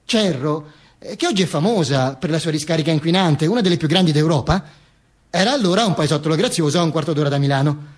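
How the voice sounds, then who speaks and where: A very high voice from a man acting gives us a dictator's corpse telling its own story as a theatrical monologue. The delivery is pompous and wry, with stammers, repetitions and self-corrections. It keeps a mock-solemn storyteller's tone. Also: expressive, fast. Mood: confiding, nostalgic.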